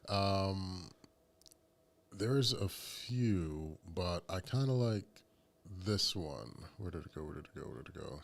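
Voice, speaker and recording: Very deep-voiced laid-back man. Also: animated, slow, vocal fry. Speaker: podcast co-host. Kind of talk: casual chat.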